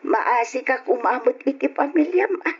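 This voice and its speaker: An actress playing an older, concerned mother pleading with a grown son in an old radio drama, husky-voiced. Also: high voice, lively intonation, moderate pace, highs cut off, a little hiss or hum.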